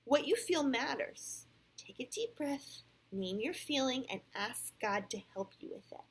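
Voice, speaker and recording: Woman as a timid, high-voiced little boy. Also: animated, unhurried, husky, exaggerated voice, low-quality recording. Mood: comforting. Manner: quiet, earnest.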